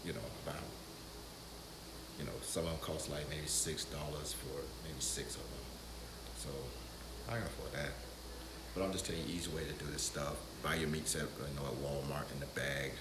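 A man in his fifties giving a relaxed, conversational how-to talk straight to the camera in his home kitchen. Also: very deep voice, normal speed. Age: 50s. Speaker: vlogger. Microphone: camera mic from a few feet away. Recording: echoey kitchen with background noise.

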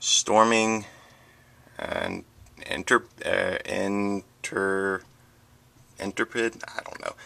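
A man's voice: low voice, creaky. A man chatting casually and hesitantly as he presents a collection item by item on a home video. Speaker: book vlogger. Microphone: handheld camera, close behind it. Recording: noisy home camera audio with handling clicks.

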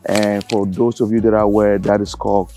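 Deep gravelly man vlogging casually. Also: a little fast, faint music behind. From Cameroon. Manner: relaxed, rambling, lots of fillers. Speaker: travel vlogger. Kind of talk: casual vlog talk to camera.

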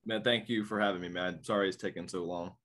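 Low-voiced man rambling on a video call. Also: steady tone, quick pace, fairly bright tone. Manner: rambling, with many restarts and fillers. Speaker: podcast guest. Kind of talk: casual interview chat. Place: small indoor room.

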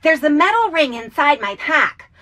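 A woman's voice: sits high, big pitch swings, normal speed, fairly bright tone. A woman voicing a brusque, eccentric female insect researcher in a video game, speaking emphatically. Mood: brisk. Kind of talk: video game character acting.

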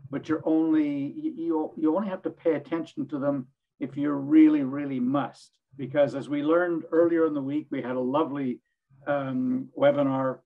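An older man with a hoarse voice, speaking earnestly as a panelist on a webinar from his home study over a video call. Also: a little high, steady tone, normal speed, narrow, tinny audio. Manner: earnest, rambling with restarts. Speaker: executive director, panelist.

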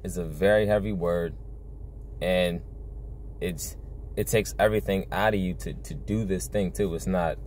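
A man's voice: deep voice, steady tone, normal speed, pauses often, darkish tone, slight background noise. A young man talking earnestly to camera in an informal preaching style, repeating himself and often saying "you know", recorded on a phone in a car. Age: young adult. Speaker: religious video blogger. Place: inside a parked car at night.

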